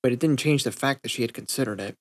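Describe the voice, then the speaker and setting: A man's voice: mid-range voice, fast, darkish tone. A man narrating a scripted story as an audiobook reader. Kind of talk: scripted fan-fiction narration.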